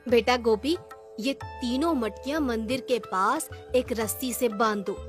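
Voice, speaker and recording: A woman plays a devout village mother from a children's cartoon tale. Her voice is high and affectionate, coaxing her child along, with music playing underneath. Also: a little slow, close to an everyday voice. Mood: gentle, caring. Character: caring, devout village mother of a young boy. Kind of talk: cartoon story character voice.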